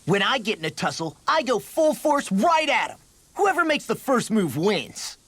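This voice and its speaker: Game role: hot-headed young fighter, American accent, very lively cocky delivery. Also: male voice, sits high, moderate pace, slightly rough, dry recording, full character voice. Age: young adult. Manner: cocky, slangy. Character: brash, hot-headed young male brawler, son following father's footsteps. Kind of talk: video game voice acting. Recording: studio voice over game music.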